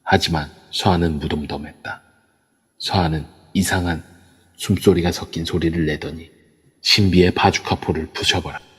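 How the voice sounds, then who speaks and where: Deep husky guy narrating a ghost story, steady pace, big reverb. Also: moderate pace. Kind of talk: storytelling narration in an amateur audio drama. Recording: long echoey reverb.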